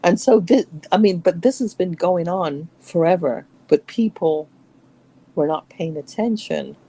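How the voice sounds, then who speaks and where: A woman from England co-hosting a podcast, talking earnestly in long runs with quick asides. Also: lowish voice, mellow, slightly rough. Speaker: podcast co-host. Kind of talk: casual podcast discussion.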